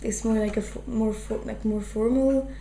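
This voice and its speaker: A woman's voice: a little high, steady tone, normal speed, vocal fry, mellow. A young woman from Flanders with a Flemish accent, talking thoughtfully in an interview and often restarting her sentences. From Flanders, Belgium. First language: Dutch. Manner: thoughtful, full of fillers and restarts. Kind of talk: casual interview. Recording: echoey room with faint hum.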